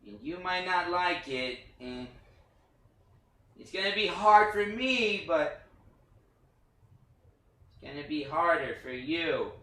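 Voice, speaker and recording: A man voicing a high, cuddly puppet character. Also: lively intonation, pushed and bright, heavily acted. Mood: sad, reluctant. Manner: halting, stammering delivery. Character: cuddly childlike male puppet character, tender and earnest. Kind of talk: staged reading of a play. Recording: roomy, distant microphone.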